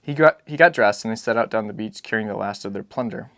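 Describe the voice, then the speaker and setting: A man's voice: lowish voice, fast talker, fairly bright tone, dry recording. A man narrating a literary novel as a dramatic audiobook reading.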